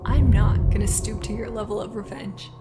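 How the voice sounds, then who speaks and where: A woman voicing a righteous young female warrior cat, cutting and deliberate with betrayed scorn, in an animated fan drama. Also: medium pitch, natural voice. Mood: cold contempt. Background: dramatic background music.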